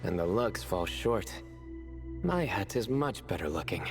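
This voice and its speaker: A man voicing a dry, self-assured young joker in a video game, fond of deadpan puns. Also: deep voice, a little slow, full character voice. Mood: wry, self-assured. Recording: long hall reverb, music behind.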